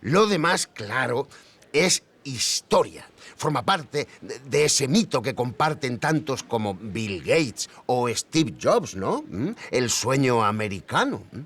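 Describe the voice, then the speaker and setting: An actor playing a self-assured professorial expert, explaining history didactically in a documentary interview with questioning little hums. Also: male voice, mid-range voice, big pitch swings, takes it slowly, fairly bright tone, husky, exaggerated voice. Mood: admiring, didactic.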